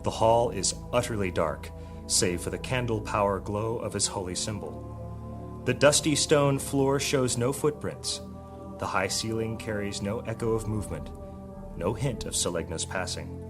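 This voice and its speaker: A man's voice: lowish voice, normal speed, music in the background, close to an everyday voice. A man narrating an epic fantasy audio drama as a solemn, dramatic storyteller reading from a script. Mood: suspenseful.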